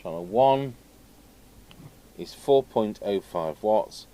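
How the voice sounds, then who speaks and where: A man's voice: fairly low pitch, animated, slow pace, mellow. A man giving casual hands-on commentary as he works, muttering short remarks to himself. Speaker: radio repair vlogger. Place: indoors at an electronics workbench. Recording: clear background noise from a workshop. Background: background noise.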